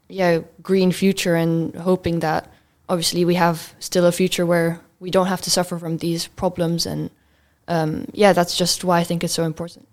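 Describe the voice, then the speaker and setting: A fifteen-year-old girl from Belgium, answering questions as a student guest in an interview. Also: lowish voice.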